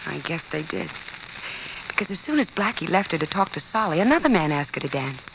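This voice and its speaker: A woman's voice: low-pitched, sing-song, a little fast, vocal fry, exaggerated voice. A woman acting a world-weary, guarded confidante in an old radio crime drama. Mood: guarded, matter-of-fact. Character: world-weary woman confidante who knows the underworld crowd. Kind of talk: 1940s-50s American radio drama acting. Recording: dull, hissy old radio recording.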